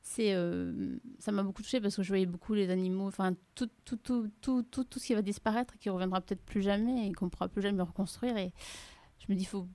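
A woman's voice: mid-range voice, a little fast, no room sound. A woman from Madagascar whose first language is Malagasy, a writer guest speaking hesitantly and with emotion. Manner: hesitant, emotional, soft-spoken. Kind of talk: casual radio chat.

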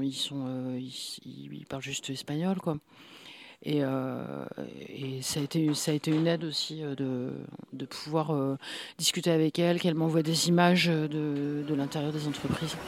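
A man's voice: a little high, level tone, normal speed. A man who is a comic book author, talking in a casual interview with many fillers and restarts. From France.

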